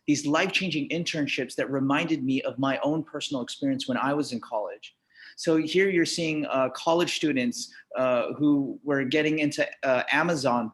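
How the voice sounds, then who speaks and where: A man's voice: mid-range voice, a bit bright. Casual, upbeat American man. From Los Angeles, United States. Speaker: startup entrepreneur, panel guest. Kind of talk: informal personal storytelling on a panel call. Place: video call.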